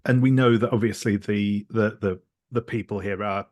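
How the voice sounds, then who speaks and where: A man thinking aloud haltingly and tentatively as a player in a tabletop roleplaying game. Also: lowish voice, steady tone, darkish tone, dry recording. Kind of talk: casual collaborative game brainstorming.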